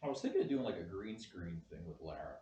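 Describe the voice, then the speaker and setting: A man's voice: sits low, sing-song, quick pace, lo-fi sound. A man, a film director, casually explaining his ideas in conversation. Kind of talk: casual production chat. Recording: distant mic, echoey room, faint noise.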